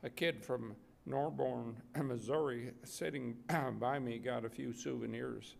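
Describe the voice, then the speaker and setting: An older man reads a wartime letter aloud at a podium microphone, haltingly and with throat-clearing. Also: mid-range voice, steady tone, a little mellow. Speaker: readers theater reader. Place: public gallery event room, indoors. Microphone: gooseneck podium microphone.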